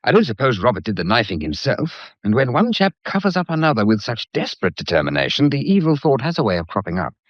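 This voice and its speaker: An actor with a British accent playing a breezy, blunt, gallant painter in a period radio drama, full of jaunty, slangy, teasing banter. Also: male voice, mid-range voice, very animated, fast, hardly any pauses, dry recording. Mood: concerned, probing. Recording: dull, muffled lo-fi audio.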